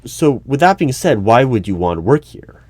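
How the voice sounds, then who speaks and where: A man playing an exasperated, argumentative hiring manager in an audio roleplay, speaking haltingly and in formal wording. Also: mid-range voice, big pitch swings, darkish tone, breathy edge, no echo. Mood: stern, reasoning. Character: exasperated male human-resources hiring manager interviewing a robot. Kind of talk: one-sided audio roleplay.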